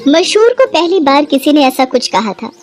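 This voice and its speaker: A woman narrating a scripted moral story in an expressive storytelling manner. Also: sits high, normal speed, soft background music.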